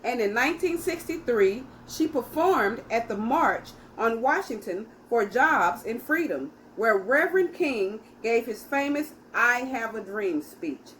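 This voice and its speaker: A woman's voice: fairly high pitch, expressive, a little slow, hardly any pauses, a bit bright, a little hiss or hum, rough recording. A woman hosting a children's story time, reading aloud and speaking directly to young listeners in a teacherly way.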